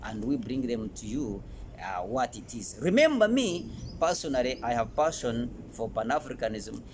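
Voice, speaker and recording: A man with a Ugandan accent presenting an educational talk in an emphatic, halting way, often repeating phrases. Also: medium pitch, sing-song. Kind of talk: informal educational presentation to camera. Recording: noticeable background noise.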